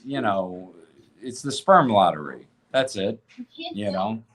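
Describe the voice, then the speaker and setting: A man's voice: lowish voice, lively intonation, slow pace, a little hiss or hum. An American man from Tennessee co-hosting a podcast, chatting casually and telling stories in a conversational way. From Tennessee, United States. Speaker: podcast co-host. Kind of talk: casual conversational storytelling and discussion.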